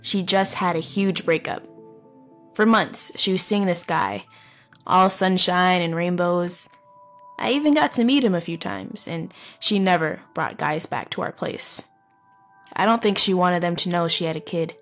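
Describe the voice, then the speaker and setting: A woman playing a wounded, wry, guarded teenage runaway in a halting, confessional audio drama monologue. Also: fairly low pitch, a bit bright, faint music behind. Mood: bitter, reflective. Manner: halting, conversational, with pauses and wry asides. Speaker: narrator of audio horror story. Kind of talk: first-person dramatic monologue, confessional storytelling.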